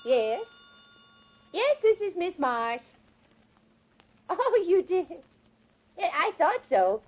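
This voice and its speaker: An actress playing a meddlesome, excitable and prim elderly lady amateur sleuth in a 1940s radio drama. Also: very high-pitched, slow, highs cut off, slight background noise, exaggerated voice. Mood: excited, knowing. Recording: vintage 1940s radio recording.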